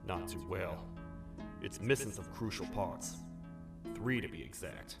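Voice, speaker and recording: Game toad sheriff , male actor: low, gruff, folksy lawman and loyal protector, slightly off-mic under music. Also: animated, a little slow, heavily acted. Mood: grave, steady. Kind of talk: video game character acting. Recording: distant, loud music behind.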